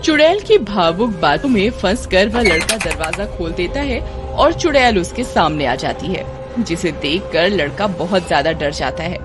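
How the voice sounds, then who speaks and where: A woman's voice: on the low side, expressive, normal speed. Woman narrating a children's story over music.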